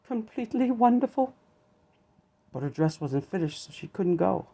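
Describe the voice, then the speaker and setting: A man's voice: a little high, moderate pace, vocal fry, warm tone. A man narrating a children's storybook aloud.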